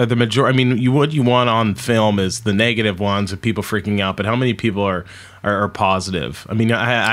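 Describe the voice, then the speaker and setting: A man's voice: on the low side, fairly bright tone. A man, asking casual questions as a podcast host. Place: home room indoors. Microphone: boom-arm studio microphone.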